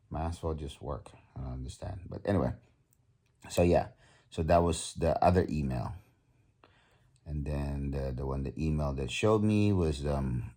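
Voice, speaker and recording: A man around fifty talking casually on his video blog, halting and full of ums and restarts. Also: a bass voice, dark tone, slightly rough. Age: 50s. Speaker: vlogger. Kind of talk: casual vlog talk.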